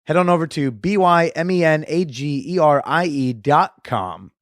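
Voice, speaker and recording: A man's voice: on the high side, normal speed, no room sound, clean studio sound. A man hosting a podcast, reading an ad and asking short casual questions. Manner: short questions and back-channel replies. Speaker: podcast host. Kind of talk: casual interview chat.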